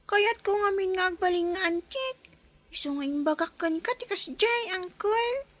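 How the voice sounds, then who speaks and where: A woman's voice: very high-pitched, expressive, moderate pace, fairly bright tone. A woman voicing an earnest young child in a radio drama. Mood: earnest, pleading.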